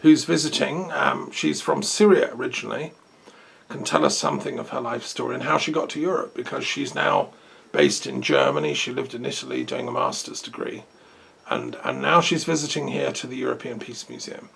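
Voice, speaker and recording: A man's voice: medium pitch, very animated, a little fast, gravelly, a bit bright, slightly rough. A man hosting an interview, asking rambling, friendly questions. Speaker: host. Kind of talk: casual interview.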